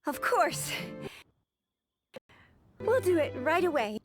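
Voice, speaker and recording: An overworked, anxious young female assistant from a video game. Her voice is extremely high and drawn out, with a flustered edge. Music plays behind her. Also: very animated, slow pace, exaggerated voice. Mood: eager. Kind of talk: scripted game character dialogue.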